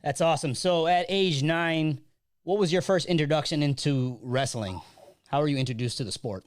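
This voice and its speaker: Smooth-voiced male interviewer. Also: a little high, normal speed. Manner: encouraging, prompting questions. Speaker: interviewer, host. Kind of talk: interview questions.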